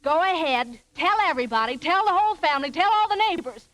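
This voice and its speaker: A spoiled, dramatic teenage girl in an old radio comedy. Her voice is very high, petulant and whiny. She delivers everything theatrically. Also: expressive, fast talker, a bit bright. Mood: indignant, petulant. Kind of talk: vintage radio comedy acting.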